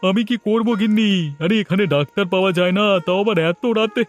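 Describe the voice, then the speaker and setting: A man's voice: high voice, fairly even intonation, quick pace, lo-fi sound, soft background music, close to an everyday voice. A man voicing an exasperated but indulgent young boy's father in a Bangla cartoon.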